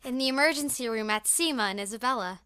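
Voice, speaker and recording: A young woman acting an earnest, somewhat hesitant high school girl in a naturalistic audio drama. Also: fast, pushed and bright. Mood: guarded, wistful. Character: earnest, reserved teenage high school girl raised on the mainland.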